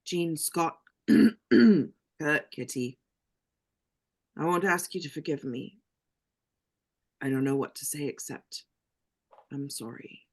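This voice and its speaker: A man playing a grieving professor who begs forgiveness in a halting, subdued way. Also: a little high, animated, exaggerated voice. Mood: remorseful, grieving. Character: grieving, remorseful male professor begging forgiveness.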